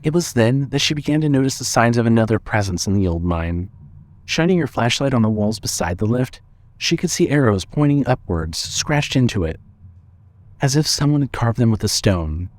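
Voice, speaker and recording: A man narrating a scripted horror audio drama in a measured, deliberate storytelling manner. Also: medium pitch, lively intonation, a little mellow.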